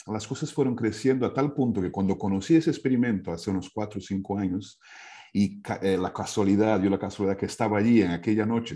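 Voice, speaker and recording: Middle-aged Brazilian man, Portuguese native with Brazilian accent, lowish dark voice, informal explainer who keeps checking in with the listener. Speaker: speaker sharing an idea. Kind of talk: informal talk, storytelling. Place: indoor room, video call. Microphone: wired earphone headset.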